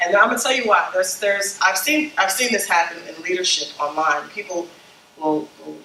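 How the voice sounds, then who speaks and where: A woman's voice: lowish voice, steady tone, normal speed, pushed and bright, roomy sound, distant mic. Scratchy-voiced woman giving a workshop talk. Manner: conversational, checks in with "okay?" and "you know?". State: scratchy from a summer flu. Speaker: branding consultant speaking to church leaders. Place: meeting room with a small group.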